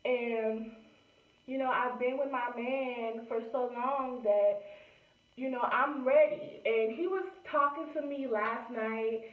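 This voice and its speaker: A woman's voice: on the high side, slow. A woman, chatting casually to camera and filling her talk with "you know". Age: late 20s. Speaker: vlogger. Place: room at home. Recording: echoey, distant, tinny phone-like sound.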